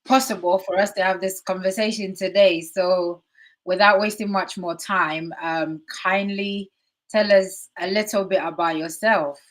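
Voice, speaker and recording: A woman hosting an online interview show, unhurried and filler-heavy, her voice affected by a cold. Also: mid-range voice, fairly even intonation. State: has a cold. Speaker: host.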